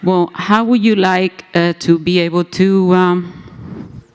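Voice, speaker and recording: Smooth clear voice, pitching a project, lots of ums. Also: fairly even intonation, slow. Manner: hesitant, many fillers. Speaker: hackathon team presenter. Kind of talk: pitch presentation.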